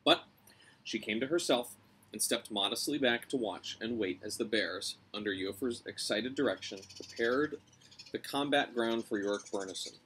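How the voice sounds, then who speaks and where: A man's voice: mid-range voice, moderate pace, fairly bright tone, slight background noise, low-quality recording. An American man in his thirties narrating a children's novel, reading aloud on a porch. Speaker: storybook reader. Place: covered house porch, outdoors.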